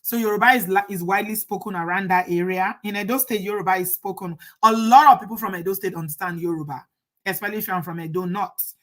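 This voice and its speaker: A woman's voice: medium pitch, fast. A middle-aged Nigerian woman hosting a casual live chat, reading viewer comments and asking rhetorical questions. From Nigeria, Edo State. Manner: chatty, rhetorical, laughs at the topic.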